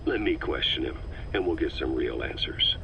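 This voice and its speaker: Very deep, hard-nosed military interrogator. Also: male voice, animated, moderate pace, muffled recording, heavily acted. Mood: challenging. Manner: blunt, pressing, accusatory. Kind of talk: scripted video game dialogue over radio. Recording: band-limited radio tape, clear background noise.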